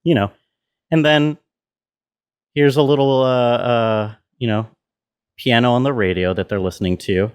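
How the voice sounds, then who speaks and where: A man's voice: mid-range voice, unhurried, pauses often, smooth. A man giving an informal walkthrough as a sound designer, thinking aloud as he explains. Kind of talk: casual tutorial walkthrough.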